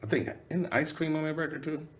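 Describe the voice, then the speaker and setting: A man, a rapper talking candidly as an interview guest, swearing freely and full of fillers. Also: fast. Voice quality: nasal yet low voice. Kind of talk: casual interview conversation.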